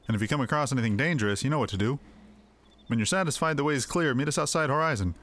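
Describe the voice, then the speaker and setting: A man's voice: mid-range voice, animated, fast, fairly bright tone, natural voice. A man voicing a rustic, plain-spoken caravan coachman in a video game, with a folksy, dryly matter-of-fact manner. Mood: wary, businesslike. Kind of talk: video game character dialogue. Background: game music.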